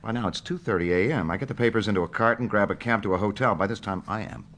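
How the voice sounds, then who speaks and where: An actor playing a wry, careful, determined newspaperman in a naturalistic audio drama, telling things in a measured way. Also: on the low side, fairly bright tone. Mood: guarded, confiding.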